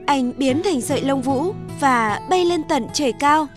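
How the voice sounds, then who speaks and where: A woman's voice: high voice, unhurried, over music. A woman narrator reading a scripted folktale.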